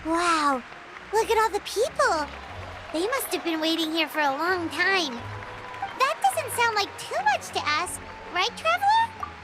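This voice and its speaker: A woman voicing a small, cheerful, chatty fairy girl with a squeaky childlike voice for a video game. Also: sing-song, measured pace, over music, crowd noise, heavily acted, poor audio. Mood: excited. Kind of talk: video game cartoon-like acting.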